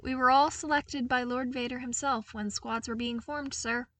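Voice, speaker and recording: A woman's voice: sits high, steady tone, normal speed, fairly bright tone, no room sound, distant mic, pitched above the performer's own voice. A woman voicing a dutiful, matter-of-fact male soldier in a story, formal and earnest.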